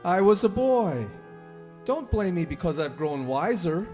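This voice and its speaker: An actor playing a defensive, pleading younger miser in a radio play, indignant in manner. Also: male voice, high voice, big pitch swings, measured pace, warm tone, soft background music.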